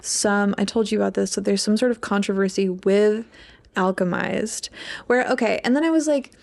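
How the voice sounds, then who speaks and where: A woman's voice: medium pitch, moderate pace, gravelly, darkish tone. A young adult woman co-hosting a podcast in casual chat, rambling and peppering her talk with "like". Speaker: podcast co-host, English PhD student.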